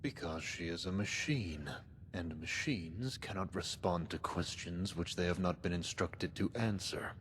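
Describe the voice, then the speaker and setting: A very low-voiced, menacing male cult leader from a wasteland video game. He speaks in pompous, archaic, deliberate phrases, cold and commanding, in a long reverb. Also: fairly bright tone, a little hiss or hum. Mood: cold, threatening. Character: cold menacing zealot overlord of a post-apocalyptic cult. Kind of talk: scripted game dialogue, dramatic monologue.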